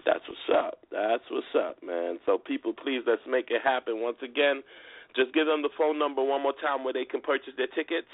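A man's voice: mid-range voice, moderate pace, no room sound. A man hosting a casual talk radio interview in a slangy, conversational way. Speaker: radio show host. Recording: phone-like internet radio with background music.

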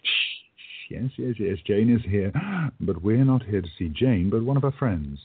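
A man playing a kindly, courteous time-travelling shopkeeper in a radio play, speaking gently and a little hesitantly. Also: lowish voice, lively intonation, unhurried, breathy edge, phone-quality sound, heavily acted. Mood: fond, hushed.

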